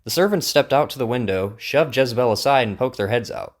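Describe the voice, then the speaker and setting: A man narrating a dramatized story with an expressive, dramatic delivery. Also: medium pitch, brisk. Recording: narration over music.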